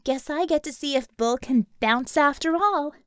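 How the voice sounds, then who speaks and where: Narrator doing a taunting villainess, smug threats, swoopy delivery, dry close sound. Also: female voice, high-pitched, expressive, a little slow, a little mellow, dry recording. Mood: seething. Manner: mocking, threatening. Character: taunting, menacing female villain with a detonator.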